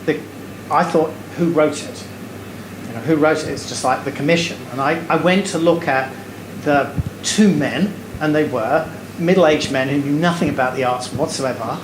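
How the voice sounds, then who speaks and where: A man's voice: fairly high pitch, normal speed. A man answering an audience question on a panel stage, wry and digressive with many fillers. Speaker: lecturer. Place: stage before a live audience in a hall. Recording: echoey hall, air conditioning hum.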